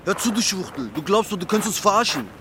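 An actor playing a sneering, foul-mouthed gangster in a radio drama, threatening and taunting in vulgar language. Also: male voice, high voice, lively intonation, quick pace, noisy background. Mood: menacing. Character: menacing, foul-mouthed male gang thug, sneering.

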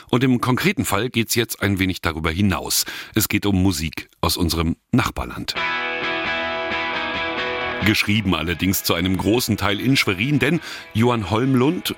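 A man's voice: fairly low pitch, a bit bright. A man hosting a regional music program, interviewing guests and narrating features. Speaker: radio show host. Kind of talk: interviewer and scripted magazine narration.

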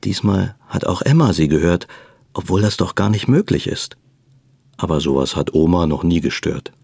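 A man narrates a radio play in scripted prose. His voice is very deep, with a husky, rough-edged tone. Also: lively intonation, moderate pace, darkish tone, dry recording, clean studio sound.

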